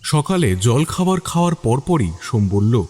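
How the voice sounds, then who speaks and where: A man's voice: medium pitch, big pitch swings, unhurried, dark tone, slight background noise. A man narrating a scripted mystery story in a dramatic storytelling style.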